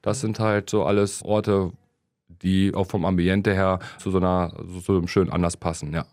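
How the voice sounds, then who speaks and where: A man's voice: deep voice, little pitch movement, normal speed, darkish tone. A man in his thirties from Krefeld, talking casually and plainly as co-host, with hesitant fillers. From Krefeld, Germany. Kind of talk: casual chat, question round.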